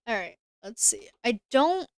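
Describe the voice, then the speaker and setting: A woman's voice: a little high, big pitch swings, unhurried, creaky, dry recording. A woman streamer chatting casually while she plays a game, thinking aloud and answering her chat. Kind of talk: casual live-stream gameplay commentary.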